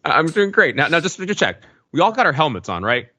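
Male podcast panelist, quick and bright-edged, deadpan joker in casual banter, dry recording. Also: a little high, no room sound.

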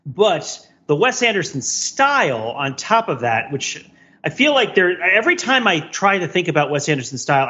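Guy with a highish voice talking thru a movie on a podcast, wordy, thinks out loud, kinda dull lo-fi sound. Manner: wordy, thinking aloud with frequent repeats and "right?" tags. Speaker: podcast co-host. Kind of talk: casual film discussion, analytical.

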